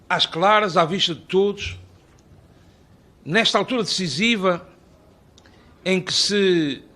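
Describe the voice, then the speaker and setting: A man's voice: fairly high pitch, pushed and bright. Azorean man giving an ornate formal address. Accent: Azorean Portuguese. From Azores, Portugal. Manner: ornate, rhetorical, long listing phrases. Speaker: invited speaker at a parliamentary hearing.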